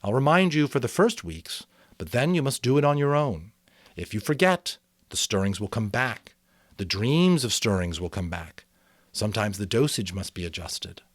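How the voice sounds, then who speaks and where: A man voicing a gentle, reassuring mother who explains patiently in a novel reading. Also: fairly low pitch, animated, normal speed, dry recording, clean studio sound. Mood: patient, instructing.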